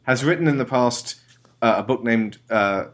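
A man hosting a comedy podcast, chatting loosely with many fillers and quick asides. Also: mid-range voice. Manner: hesitant, full of fillers and quick jokes. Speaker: podcast host. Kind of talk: casual comedy chat.

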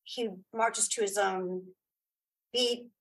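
A middle-aged woman chatting casually as an interview guest, giving opinions in halting, repeated phrases. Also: medium pitch, steady tone, poor audio. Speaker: guest, playwright and audio drama creator.

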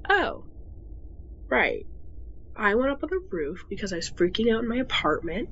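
A woman's voice: a little high, sing-song, normal speed, a bit bright. An actress playing a weary, unhappy young woman in an audio drama, hesitant and exasperated. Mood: confused, exasperated. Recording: dull, slightly noisy recording with ambience.

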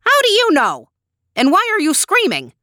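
A screechy, suspicious old woman from a storybook. Her voice is very high and strong, swooping widely. She is curt and hard of hearing. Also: huge pitch swings, normal speed, bright, forceful tone, dry recording, heavily acted. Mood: suspicious, demanding. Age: older. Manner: curt, accusing questions. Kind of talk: animated storybook character voice.

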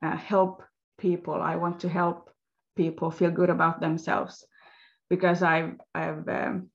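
A woman speaking slowly and haltingly, pausing to search for her words. Her lowish voice has a gravelly fry to it. The audio sounds dull and lo-fi. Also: level tone. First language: Swedish. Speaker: guest, health coach. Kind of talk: interview storytelling.

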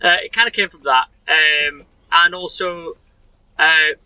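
A man's voice: fairly high pitch, steady tone, measured pace, bright, forceful tone, clear, resonant tone, low-quality recording. A man in his thirties from Sheffield with a Yorkshire accent, a guest in a casual chat, rambling and self-deprecating, often restarting his sentences. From Sheffield, England. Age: 30s.

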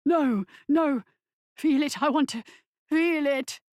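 A woman voicing a tormented male patient in a horror audiobook, raspy and pleading. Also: medium pitch, takes it slowly, a little mellow, dry recording, clean studio sound, heavily acted. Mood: moaning.

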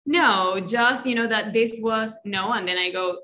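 A woman with a Colombian Spanish accent, Spanish her first language, talks as a podcast guest. Her voice is bright and clear, pitched around the middle for a woman. She speaks reflectively, tagging her thoughts with "right?" and "you know.". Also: unhurried. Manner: warm reflective talk with many "right?" and "you know" tags. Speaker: guest, life coach. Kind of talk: casual podcast interview.

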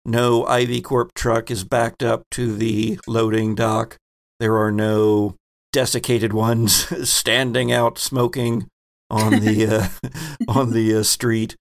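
Gravelly man running a game. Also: lowish voice, little pitch movement, slow. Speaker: game master. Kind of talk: narrating a tabletop role-playing game.

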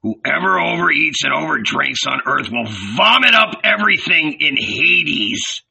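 A man's voice: lowish voice, very slow, creaky, sharp tone, husky, full character voice, rough recording. A man voicing a scornful red-bearded disciple with a sarcastic, gloating manner in a storybook reading. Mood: mocking, gloating.

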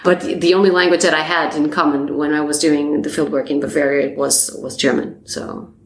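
A woman's voice: low voice, level tone, fairly quick, slight background noise. A woman speaking as a guest linguist in an earnest, explanatory way, with some restarts. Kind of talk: podcast discussion. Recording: roomy echo.

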